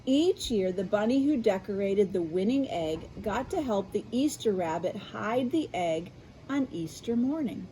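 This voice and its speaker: Unhurried, animated American woman reading to children. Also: mid-range voice, slight background noise, low-quality recording. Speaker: school media specialist reading aloud. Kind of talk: expressive children's picture-book read-aloud with explanatory asides.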